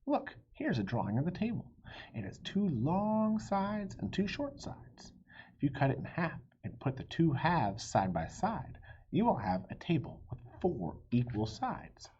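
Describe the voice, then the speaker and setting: A man voicing a clever, practical lady who calmly suggests ideas in a children's storybook. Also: on the high side, a little slow, husky, dull audio, pitched above the performer's own voice, heavily acted. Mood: explaining. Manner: calm, suggesting solutions. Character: clever practical lady, helpful wife of a knight.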